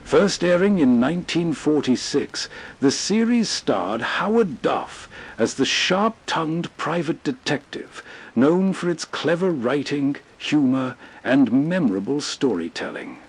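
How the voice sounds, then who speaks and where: A man narrates as a host in a soothing, scripted style. His voice is slightly high for a man and husky, and he takes his words very slowly. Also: lively intonation, rough recording.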